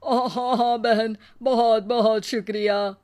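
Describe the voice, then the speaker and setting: A woman voicing a mysterious elderly male village trader in a moral story, with a slow, courteous manner. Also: fairly high pitch, steady tone, darkish tone. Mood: polite. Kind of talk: Hindi moral storybook acting.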